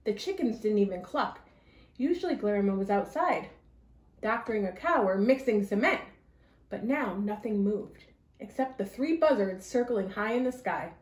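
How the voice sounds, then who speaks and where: A woman reading a children's story aloud with expressive narration in an echoey room. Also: mid-range voice, moderate pace.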